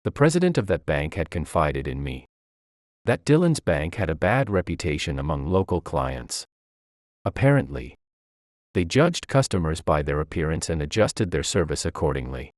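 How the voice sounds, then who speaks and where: A man's voice: very deep voice, big pitch swings, moderate pace, dry recording, studio quality. A man reading a scripted first-person story as its narrator.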